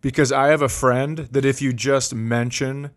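A man's voice: medium pitch, little pitch movement, normal speed. A middle-aged man hosting a comedy podcast in casual chat, telling stories with an amused, ready-to-laugh manner.

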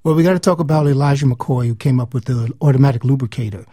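A man's voice: medium pitch, fast, dark tone, no echo, clean studio sound. A man speaking as an author and interview guest, explaining things conversationally.

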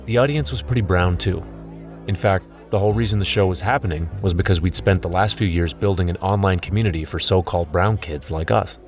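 A man's voice: sits low, a little fast, soft background music. A man in his thirties, a podcast host telling a personal story in scripted documentary narration.

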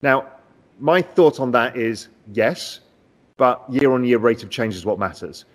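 A man in a finance interview, confidently explaining macroeconomics with animated emphasis. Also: medium pitch, unhurried. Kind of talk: conversational market discussion.

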